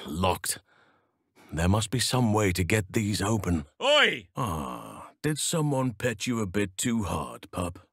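A wise druid from a fantasy video game, gentle yet solemn, with a wild side. His voice is fairly low and recorded close and dry. He phrases things formally and earnestly, in measured sentences. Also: no echo, exaggerated voice. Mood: alarmed, urgent, then tender, teasing. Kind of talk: video game character acting.

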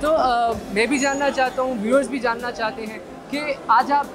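A young man hosts from a busy indoor expo hall, his speech polite and measured. Music plays clearly behind him. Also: very high-pitched, steady tone, a crowd in the background. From Pakistan. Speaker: host. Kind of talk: event presenting and interviewing.

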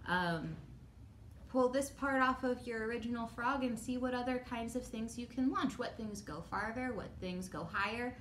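A woman's voice: a little high, normal speed, fairly bright tone, a little hiss or hum. A middle-aged American woman giving children simple craft instructions as a librarian. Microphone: camera a few meters away.

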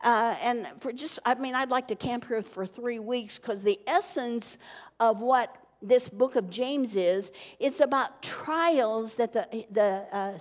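An older woman pastor preaching a sermon, animated and teaching, with wide gestures on a church stage. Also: a little high. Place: church stage with wood-panel wall. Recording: long reverb from church hall.

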